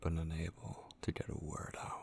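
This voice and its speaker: A man playing an obsessive, possessive kidnapper in an ASMR horror roleplay, with a breathy, gravelly voice that slips into whispers and lingers tenderly and menacingly over words. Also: rumbling low, monotone, moderate pace, a little mellow. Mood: taunting, hushed. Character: obsessive, possessive male kidnapper, unhinged, taunting and devoted.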